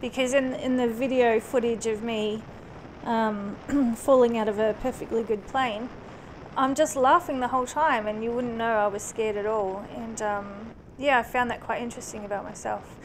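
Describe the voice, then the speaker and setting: A woman's voice: a little high, steady tone, moderate pace, rough recording. A woman in her late thirties from New Zealand, raised in Australia, with a mixed New Zealand and Australian accent, chatting casually with plenty of ums and restarts while riding a motorcycle. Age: late 30s. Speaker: motorcycle vlogger. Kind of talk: casual chat to camera. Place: riding a motorcycle on an open country road. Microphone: helmet-mounted action camera. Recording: engine and wind noise on a helmet cam. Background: motorcycle engine and wind noise.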